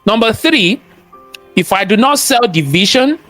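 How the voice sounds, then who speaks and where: A man with a strong Nigerian accent and a high voice speaks as an interview guest. He talks in a motivational, sermon-like way, repeating key phrases for emphasis and throwing out rhetorical questions. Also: expressive, a little slow, bright, forceful tone, faint music behind. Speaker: guest, cybersecurity expert and entrepreneur. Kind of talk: interview conversation, motivational talk.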